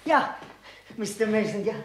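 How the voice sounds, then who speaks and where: A woman playing a timid, excitable house servant with a foreign accent and broken grammar in a radio drama. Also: mid-range voice, moderate pace, lightly acted. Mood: eager. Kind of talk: 1960s German radio crime drama acting. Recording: echoey, noisy 1960s radio recording.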